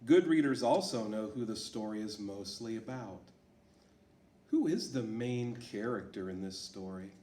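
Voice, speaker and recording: A man's voice: lowish voice, a little hiss or hum. A man reading a storybook aloud to children as a teacher, asking them questions in an encouraging way. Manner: slow, lively, encouraging teacher talk. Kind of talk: read-aloud lesson for children. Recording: echoey room.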